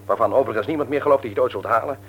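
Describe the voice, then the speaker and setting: An actor playing a stern, patronising man in authority in a radio drama, lecturing emphatically in a husky voice. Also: medium pitch, very fast, a bit bright. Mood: scolding. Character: stern, patronising older brother and works supervisor, adult man.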